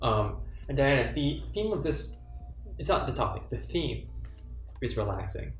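A young man hosts a loose campus podcast with a teasing, playful way of talking. His voice sits at a middle pitch. The room around him is roomy and echoing. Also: very animated, normal speed. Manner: teasing, joking banter. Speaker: podcast host. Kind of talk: casual chat. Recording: roomy echo, faint music.

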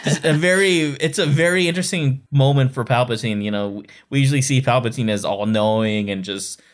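A man's voice: mid-range voice, fairly quick. A man co-hosting a fan podcast, chatting casually and enthusiastically, often repeating phrases for emphasis. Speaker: podcast co-host.

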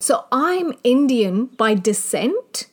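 A woman's voice: on the high side, big pitch swings, takes it slowly, darkish tone. A woman from Kenya, a life coach giving an online mini training, who talks straight to the listener in an earnest, guiding, motivational way.

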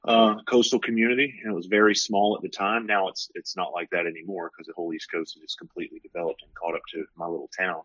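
A man's voice: sits low, little pitch movement, quick pace, hardly any pauses, fairly bright tone, no room sound, lo-fi sound. A man in his forties with a Southern US accent, from South Carolina, telling folksy, joking stories as an interview guest. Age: 40s. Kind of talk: casual interview chat.

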